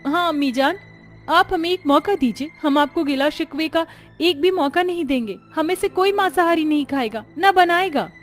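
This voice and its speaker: Theatrical young daughter-in-law played by a woman, very high voice, courteous formal phrasing, family story. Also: moderate pace, faint music behind, close to an everyday voice. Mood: pleading. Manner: courteous formal Urdu-flavoured wording.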